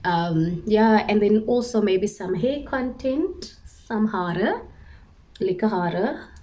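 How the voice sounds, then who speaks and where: A woman's voice: medium pitch, lively intonation, slow pace, warm tone. Chatty Cape Town woman in her twenties. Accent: Cape Town South African English. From Cape Town, South Africa. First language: Afrikaans. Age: 20s. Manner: chatty and self-mocking, laughs often, drops to whispers. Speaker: vlogger, primary school teacher. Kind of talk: casual chat to camera.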